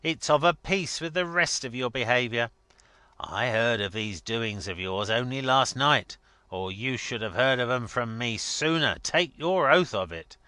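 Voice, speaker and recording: A man's voice: mid-range voice, expressive, unhurried, sharp tone, smooth, no room sound, voice pushed up. A man voicing a blustering, scornful older rich man in a dramatic story reading, emphatic and mocking. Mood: ranting, mocking.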